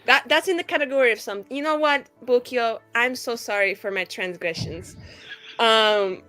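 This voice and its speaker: A woman's voice: a little high, moderate pace, bright, forceful tone, soft background music. A woman voicing a brash, foul-mouthed young female mage of twenty-two in a rambling, dramatic tabletop roleplay. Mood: sheepish. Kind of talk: improvised in-character roleplay.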